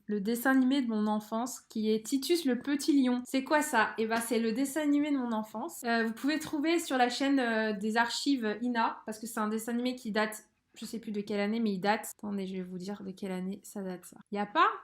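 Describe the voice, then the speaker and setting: A young woman chatting casually as a livestream host, slangy, expressive and amused. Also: on the high side, brisk. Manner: slangy, expressive, amused, spells out internet abbreviations. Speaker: livestream host reacting to a cartoon. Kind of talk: casual reaction chat.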